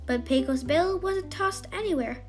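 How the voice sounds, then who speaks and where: A woman narrating a scripted children's bedtime story in an expressive, emphatic way. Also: very high voice, normal speed, faint music behind.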